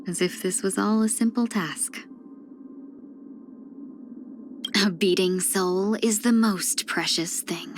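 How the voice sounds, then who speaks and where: A woman voicing a video game character, a gentle, wistful fox-woman who speaks softly and politely in measured, reflective lines. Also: medium pitch, natural voice. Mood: reflective, calm. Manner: soft-spoken, measured, reflective, drifting into breathy near-whispers; polite and refined.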